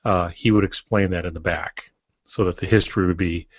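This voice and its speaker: A man's voice: sits low, steady tone, brisk, no echo. A man co-hosting a podcast, giving casual writing instruction in an advisory way with short maxims. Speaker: podcast co-host and writing teacher. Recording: dry, dull lo-fi podcast audio.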